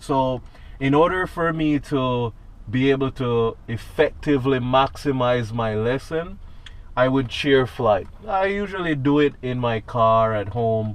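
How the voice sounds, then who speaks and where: A man's voice: medium pitch, smooth. Jamaican man talking through a checklist. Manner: talks through a checklist, tagging lines with "right?". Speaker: student pilot. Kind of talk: casual instructional vlog. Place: inside a small airplane cockpit on the ground.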